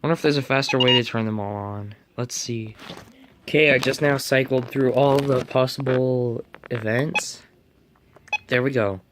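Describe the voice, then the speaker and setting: A man talks casually to himself as he works through setting up a device on camera. His voice sits at a middle pitch. The recording is clearly noisy, like an amateur home video. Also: fairly even intonation, normal speed. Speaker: hobbyist reviewer. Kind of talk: casual unboxing and setup commentary.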